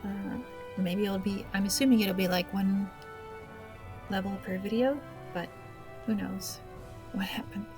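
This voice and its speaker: A woman's voice: mid-range voice, steady tone, unhurried, pauses often, music in the background. A woman hosting a gaming video with casual, awkward, self-deprecating commentary, speaking hesitantly. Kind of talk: casual let's play commentary.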